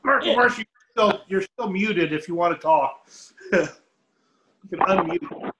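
A man's voice: sits high, measured pace, fairly bright tone, slight background noise, poor audio. A man chatting casually and jokily with a group over a video call.

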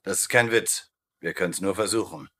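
An actor playing a sardonic, authoritative, cool-headed colonel in a dubbed TV show. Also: male voice, low voice, moderate pace, a bit bright. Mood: businesslike. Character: wry, commanding American colonel, cool-headed resistance leader. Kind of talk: dubbed TV acting.

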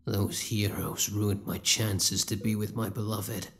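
A man's voice: on the low side, fairly even intonation, a little slow, creaky, fairly bright tone, voice pushed down. A young man voicing an obsessive, lovesick young male villain in a theatrical, brooding audio roleplay. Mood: vengeful, brooding. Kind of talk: roleplay audio drama monologue.

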